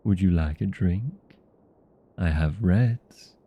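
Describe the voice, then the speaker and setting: Guy doing a deep gravelly old vampire, vain n chatty, hammy but polite, comedy roleplay audio, kinda muffled recording. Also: slow pace, full character voice. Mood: chatty, hospitable. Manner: theatrical, self-aware, chatty and courteous.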